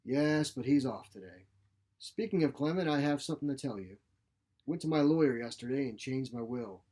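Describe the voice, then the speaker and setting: A man voicing a feisty, sharp-tongued elderly mother in a short story, blunt, folksy and grumbling. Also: medium pitch, expressive, normal speed, close to an everyday voice. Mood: frank, scornful. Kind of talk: short story character reading.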